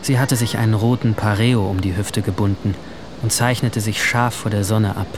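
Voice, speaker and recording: A man's voice: lowish voice, fairly even intonation, moderate pace, background noise, natural voice. A young man playing a brooding teenage boy who narrates his own story in subdued, introspective short phrases. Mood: observant. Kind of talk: first-person scripted drama narration. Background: music.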